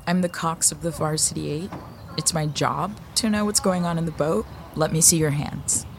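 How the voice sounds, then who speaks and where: A principled young female coxswain in an audio drama. She is direct and insistent in a lowish voice, with faint ambient noise around her. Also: steady tone, normal speed. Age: young adult.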